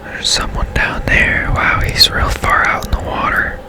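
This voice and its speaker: A man giving casual, hushed ASMR commentary over a video game in a breathy whisper, musing in an unhurried way. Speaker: game streamer, host.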